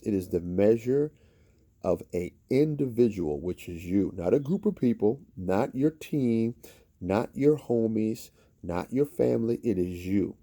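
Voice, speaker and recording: A man's voice: fairly low pitch, vocal fry, mellow. A man hosting his own channel, giving a motivational talk to camera and repeating phrases for emphasis.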